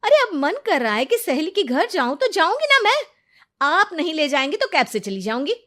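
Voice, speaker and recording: Cartoon young wife, played by a woman: very high, animated, indignant, sarcastic, quick to answer back. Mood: sarcastic, defiant. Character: exasperated, sharp-tongued young housewife answering back.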